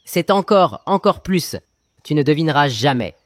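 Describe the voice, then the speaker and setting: A man plays a young farm lad in a children's cartoon tale. The voice is on the high side and recorded dry. He is cheeky and eager, always ready to argue or play on words. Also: animated, moderate pace, no echo, natural voice. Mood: excited. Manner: cheeky, eager, pun-loving. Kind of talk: children's cartoon storybook acting.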